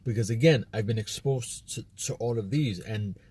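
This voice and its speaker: Mellow, husky-voiced man talking casually. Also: lowish voice, moderate pace. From United States. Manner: rambling, repeats words, quotes imagined chats. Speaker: video host. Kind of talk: casual monologue to camera.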